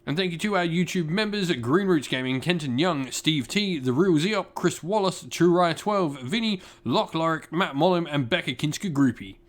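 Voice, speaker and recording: A man's voice: fairly high pitch, lively intonation, moderate pace, hardly any pauses. A man hosting a gaming channel, talking informally and conversationally as he shares opinions and addresses his viewers directly. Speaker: YouTube gaming channel host. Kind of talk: casual opinion commentary to viewers.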